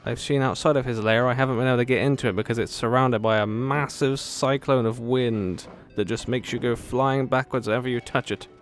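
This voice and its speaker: A woman's voice: a bass voice, fairly even intonation, fairly quick, few pauses. A woman giving casual, chatty, playful gameplay commentary as a video host, reacting aloud to the characters. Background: faint game music.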